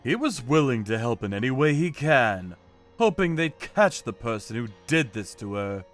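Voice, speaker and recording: A man giving dramatic first-person narration as a calm, righteous, menacing vigilante in an animated manga story. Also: mid-range voice, normal speed, faint music behind. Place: studio voice-over with music behind.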